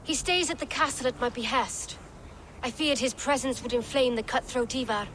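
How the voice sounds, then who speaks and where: A medieval lady envoy in a video game. Her voice is high, her delivery composed and formal, with faint outdoor ambience behind her. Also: normal speed, fairly bright tone, slight background noise. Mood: guarded, composed.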